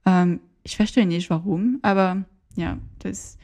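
A woman's voice: lowish voice, fairly even intonation, warm tone. A woman from Paris speaking German as a second language with a French accent, a podcast guest in casual chat who halts and searches for words. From Paris, France. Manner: halting, searching for words, many fillers.

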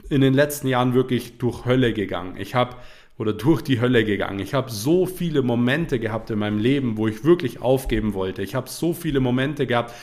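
A young man hosting a motivational podcast, talking earnestly while he has a cold, peppering his points with quick fillers. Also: medium pitch. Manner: earnest, repetitive, frequent "ja" fillers. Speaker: podcast host.

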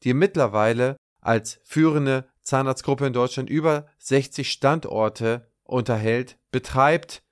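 A man hosting a podcast, reading a scripted introduction in a measured way and then asking questions in casual conversation. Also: mid-range voice, smooth. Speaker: podcast host.

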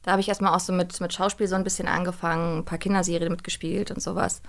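Creaky-voiced woman chatting informally. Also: lowish voice, little pitch movement, quick pace. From Berlin, Germany. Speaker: guest, voice actress and audio drama director. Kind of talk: casual interview talk.

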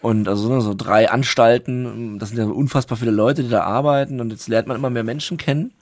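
A male podcast host in easy conversation who tells stories with lively energy. His voice sits at an ordinary pitch for a man. The recording is dry and close-miked. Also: fairly quick, no room sound. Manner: chatty, telling anecdotes in a lively way. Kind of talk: casual chat.

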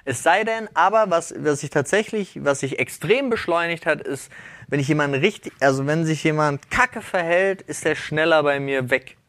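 A man co-hosting a casual podcast chat, easygoing and quick to laugh. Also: a little high, a bit bright, no echo. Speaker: podcast co-host.

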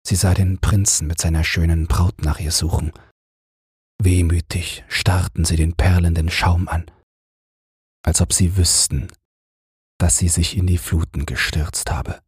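Very breathy, slow male bedtime narrator. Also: deep voice, dry recording, studio quality. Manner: calm, gentle, measured. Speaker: audiobook narrator. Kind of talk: bedtime fairy tale narration.

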